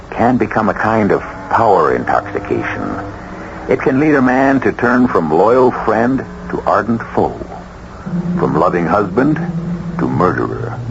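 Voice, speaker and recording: A man narrating a scripted radio suspense show as its host, in a grave, measured, theatrical manner. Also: low voice, a little hiss or hum. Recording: muffled old radio broadcast recording.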